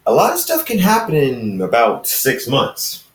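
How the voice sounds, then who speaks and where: A man's voice: medium pitch, wildly swooping pitch, unhurried, voice pushed up. A man voicing a blunt, probing, ancient immortal companion in a story, emphatic and repetitive. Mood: stern advice. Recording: roomy echo, distant microphone.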